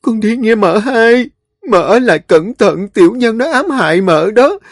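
A man's voice: sits high, animated, unhurried, dry recording, clean studio sound, pitched above the performer's own voice, exaggerated voice. Man doing a whimpering young servant girl. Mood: begging. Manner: whimpering begging.

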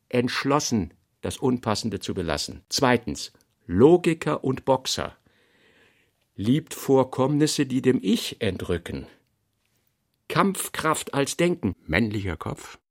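An actor playing an analytical author reading out notes in a literary radio play, in emphatic, clipped phrases. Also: male voice, mid-range voice, full character voice.

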